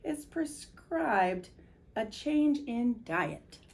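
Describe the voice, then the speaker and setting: A pompous storybook vet, as a woman reader plays it, pitched slightly up. The words come slowly and formally, as a grand diagnosis. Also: expressive, heavily acted. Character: pompous veterinarian giving a medical diagnosis.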